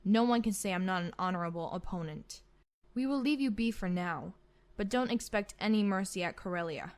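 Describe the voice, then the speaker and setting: A woman's voice: medium pitch, a little fast, natural voice. A woman playing a haughty, menacing imperial admiral in a sci-fi fan roleplay, pompous and mock-courteous. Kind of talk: scripted fan audio roleplay.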